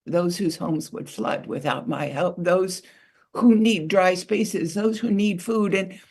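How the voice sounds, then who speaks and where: Woman voicing a no-nonsense beaver, lecturing, slightly gravelly. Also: fairly low pitch, darkish tone, exaggerated voice. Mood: dismissive. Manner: brisk, lecturing and dismissive. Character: busy no-nonsense female beaver. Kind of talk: storytelling.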